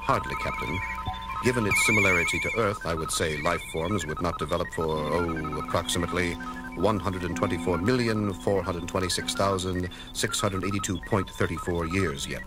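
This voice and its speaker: An actor playing a logical half-alien science officer, formal and precise, in a 1970s audio drama. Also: male voice, low voice, moderate pace, close to an everyday voice, poor audio. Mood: measured. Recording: loud music behind the voice.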